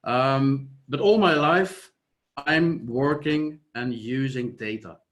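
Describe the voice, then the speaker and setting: A man from Flanders with a Flemish accent, whose first language is Dutch, giving a measured, explanatory online conference presentation over a video call. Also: mid-range voice, fairly bright tone. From Flanders, Belgium. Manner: measured, explanatory, trails off with "et cetera". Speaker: software company founder presenting.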